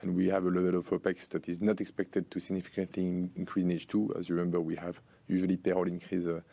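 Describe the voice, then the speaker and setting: A man answering investor questions as a finance executive on an earnings call, speaking in halting phrases with many pauses. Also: low voice, completely flat delivery, creaky, warm tone, dry recording. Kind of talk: earnings call Q&A, unscripted explanation.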